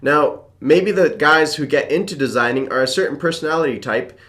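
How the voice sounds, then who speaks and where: A man's voice: fairly high pitch, fairly quick, fairly bright tone. A young man whose first language is Czech, chatting casually with a guest on a video call from a room at home. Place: home room with shelves and framed picture.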